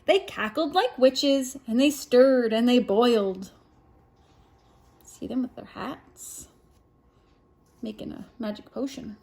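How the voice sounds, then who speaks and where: A woman's voice: a little high, takes it slowly, gravelly, pauses often, a little mellow. A woman reading a picture book aloud at story time, expressively, with little asides to a small child.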